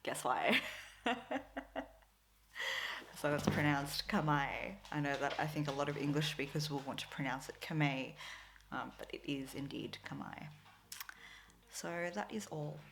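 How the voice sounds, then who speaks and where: A woman's voice: deep voice, unhurried, gravelly, long pauses, a little hiss or hum. A woman, a Tagalog speaker, chatting casually to camera as a crafting vlogger, rambling with lots of fillers and frequent laughs. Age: 20s.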